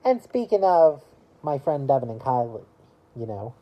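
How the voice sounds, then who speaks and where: A woman in her early twenties chatting casually to camera about her year, rambling and halting, with lots of "you know" fillers. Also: extremely low pitch, sing-song, dull audio. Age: early 20s. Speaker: vlogger.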